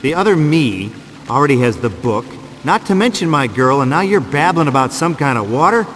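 An actor playing a cocky, irritable, wisecracking middle-aged action hero in a video game, sarcastic and slangy. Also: male voice, mid-range voice, sing-song, a little fast, a bit bright, slight background noise. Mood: exasperated.

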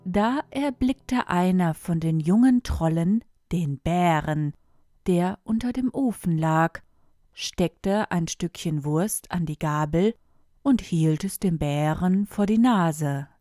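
A woman's voice: on the low side, animated, slow pace, warm tone, no echo, clean studio sound. A woman reading a fairy tale as a scripted storyteller.

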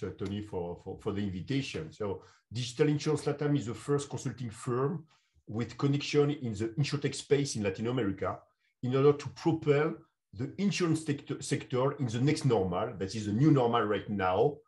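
A man, a consulting CEO answering interview questions over a video call. He speaks in measured, English. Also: medium pitch, a little mellow. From France. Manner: measured, non-native English phrasing. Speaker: guest, insurance consulting CEO. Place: home room.

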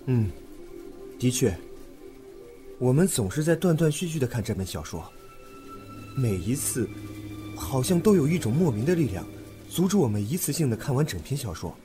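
A man acting in a Chinese audio drama as an earnest, thoughtful young man, expressive and musing. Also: mid-range voice, normal speed, pauses often, music in the background, close to an everyday voice. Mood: pondering.

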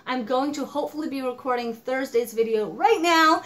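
A young woman with a fairly high voice talks through a book review at her home desk, animated and a little exasperated. She's coming down with a cold, so her voice sounds slightly hoarse and squeaky. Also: normal speed. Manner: animated, exasperated, gestures a lot. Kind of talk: casual vlog book review. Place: home office with desk and monitors.

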